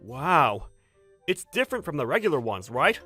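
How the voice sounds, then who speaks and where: A woman voicing an earnest, protective young husband in a manga dub, emphatic and quick to indignation. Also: low voice, big pitch swings, a bit bright, husky, faint music behind, heavily acted. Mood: eager.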